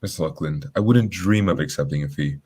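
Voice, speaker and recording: Guy playing a gentleman detective in a radio play, low voice, talks fast, formal and courteous. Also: husky. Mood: courteous, composed. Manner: formal, measured wording, polite. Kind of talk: radio drama acting.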